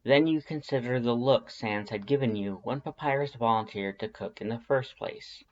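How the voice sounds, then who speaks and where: A narrator reading fan fiction aloud in an expressive, scripted storytelling style. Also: medium pitch, normal speed, lo-fi sound.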